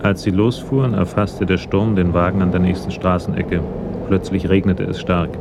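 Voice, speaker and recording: A man's voice: low voice, fairly even intonation, moderate pace, creaky, noisy background. A man reading scripted narration as the narrator of a German radio crime drama.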